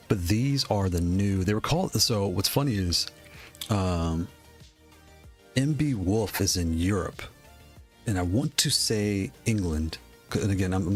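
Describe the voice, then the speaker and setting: A man casually chatting on a live stream, rambling, greeting viewers and laughing, with a voice worn from losing it. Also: deep voice. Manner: rambling, shouts out chat viewers, laughs often. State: losing his voice.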